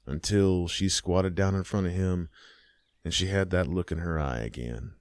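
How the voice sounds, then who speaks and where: A man narrating a horror story in a slow, measured storytelling manner. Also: low-pitched, no room sound, clean studio sound.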